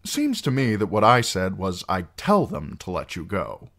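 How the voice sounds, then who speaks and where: A man's voice: low-pitched, huge pitch swings, moderate pace, fairly bright tone, full character voice. A man voicing a wry outlaw singer in an audiobook, teasing and falsely kind, with a lilting delivery. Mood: wryly teasing. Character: wry, mocking outlaw singer, smoothly cruel adult man. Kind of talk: audiobook character acting.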